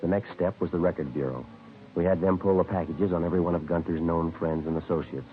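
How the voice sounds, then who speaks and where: A man playing a terse, businesslike police detective with an American accent, deadpan and matter-of-fact in a vintage radio procedural. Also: low-pitched, fast talker, lightly acted. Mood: flat, factual. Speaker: lead actor and narrator. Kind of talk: clipped 1950s radio police-procedural narration and dialogue. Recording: muffled vintage radio, faint music.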